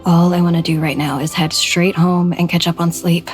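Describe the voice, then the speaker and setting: An overworked townswoman in a video game, played by a woman actor, sounds weary and complaining. Her voice is slightly low, with music behind it. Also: flat delivery, normal speed, darkish tone, close to an everyday voice. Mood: exhausted. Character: tired, overworked female townsperson longing for sleep.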